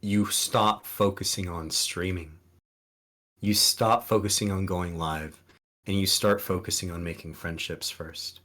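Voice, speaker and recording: Very deep-voiced man, Spanish first language. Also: steady tone, unhurried, a bit bright. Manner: rambling, many restarts and ums, earnest advice. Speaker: guest streamer. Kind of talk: casual podcast interview.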